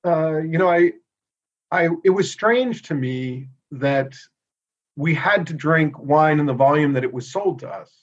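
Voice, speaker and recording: A middle-aged American man speaking as a guest in a casual interview, relaxed and thoughtful with frequent pauses. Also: fairly high pitch, dull audio. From United States. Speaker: inventor and guest.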